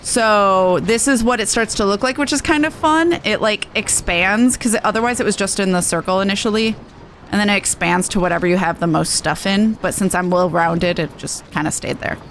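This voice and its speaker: A woman's voice: medium pitch, a little fast, a little hiss or hum. A woman game streamer giving a casual walkthrough in a chatty, slangy, informal way. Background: game music.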